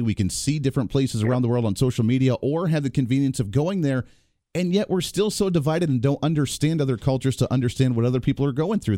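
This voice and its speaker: Fast-talking radio host, mellow voice. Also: male voice, medium pitch, dry recording, clean studio sound. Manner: enthusiastic, quick reactions. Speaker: radio talk show host. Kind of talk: casual radio interview.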